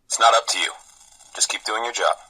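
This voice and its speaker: An actor playing a gruff, overworked reactor boss on a ship, blunt and weary, in a video game audio log. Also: male voice, lowish voice, fairly quick, sharp tone, exaggerated voice, rough recording. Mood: cold, warning.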